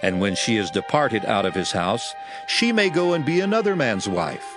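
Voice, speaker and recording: A man's voice: lowish voice, very animated, normal speed. A man playing a solemn prophet and lawgiver, declaiming commandments in archaic phrasing for a dramatized audio Bible. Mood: solemn, commanding. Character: Moses, solemn male lawgiver addressing his people. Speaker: scripture reader in an audio Bible. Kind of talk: dramatized scripture reading. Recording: music under the voice. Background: music.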